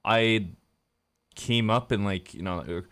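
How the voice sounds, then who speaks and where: A man's voice: fairly low pitch, slow pace, fairly bright tone. A young man from Sweden with a Swedish accent, casually casting a tournament on his stream and rambling with lots of fillers and restarts. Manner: rambling, loose, many fillers and self-corrections. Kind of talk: casual live-stream commentary.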